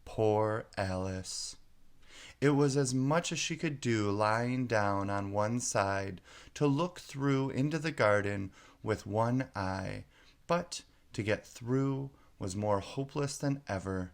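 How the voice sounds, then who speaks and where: Male storybook narrator, gravelly fry, unhurried pace, expressive read-aloud delivery. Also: lowish voice. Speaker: storybook reader.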